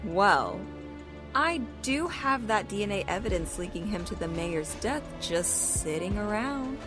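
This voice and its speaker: A woman's voice: mid-range voice, lively intonation, a little slow, natural voice. A woman acting in an audio drama as a cool, shrewd, understated member of a vigilante team. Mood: scheming. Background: music.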